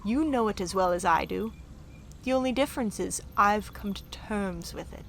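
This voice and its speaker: A woman's voice: medium pitch, normal speed, gravelly, fairly bright tone, a little hiss or hum. A woman playing a haughty, savage countess in a sci-fi audio drama, languid, mocking and menacing. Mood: cool disdain. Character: haughty, savage countess, cool sardonic schemer. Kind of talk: full-cast sci-fi audio drama acting.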